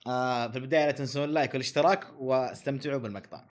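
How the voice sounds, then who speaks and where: A man's voice: medium pitch, racing. A man with a Saudi accent chatting casually with friends as the host of a challenge video.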